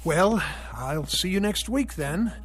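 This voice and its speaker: A courteous, lively visitor. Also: male voice, high voice, slow, faint music behind, exaggerated voice. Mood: friendly. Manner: courteous, chatty. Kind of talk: radio drama acting.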